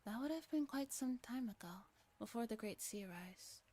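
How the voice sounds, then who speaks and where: A woman's voice: a little high, animated, normal speed, dark tone. A woman voicing a caring, responsible schoolgirl with an earnest, slightly fussy manner, in a visual novel reading. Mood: explaining. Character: caring, responsible schoolgirl minding younger children.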